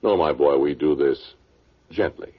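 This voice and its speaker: An actor playing a learned, world-weary archaeologist in an old radio drama, speaking in a dry, measured, scholarly way. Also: male voice, a bass voice. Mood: patient, lecturing. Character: learned, world-weary male archaeologist telling his eerie story. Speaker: actor and first-person narrator. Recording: muffled vintage radio recording.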